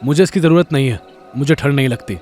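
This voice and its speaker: A man's voice: mid-range voice, fast talker, a little mellow, faint music behind, natural voice. An actor playing a stern, guarded but protective young man in an audio drama, speaking in terse, commanding phrases. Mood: stern, insistent. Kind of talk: Hindi horror audio drama acting.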